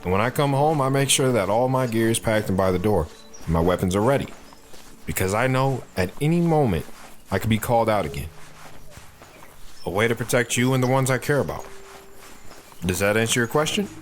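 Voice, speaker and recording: A man's voice: medium pitch, lively intonation, fairly quick, long pauses, a little hiss or hum, lightly acted. An actor playing a protective soldier father who talks patiently and earnestly to his daughter in an audio drama. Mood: earnest, grave. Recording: music behind the voice.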